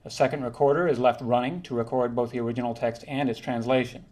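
A man delivers a prepared academic presentation in a measured, formal tone. His pitch sits in an ordinary middle range, and he now and then stops to correct a word before carrying on. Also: fairly even intonation, a little mellow. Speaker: linguistics conference presenter. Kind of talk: reading a prepared academic talk.

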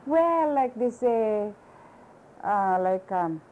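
A woman's voice: mid-range voice, lively intonation, very slow, narrow, tinny audio, slight background noise. An older woman from Hawaii, chatting in an interview and peppering her talk with "you know" and "you see.". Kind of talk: casual conversation.

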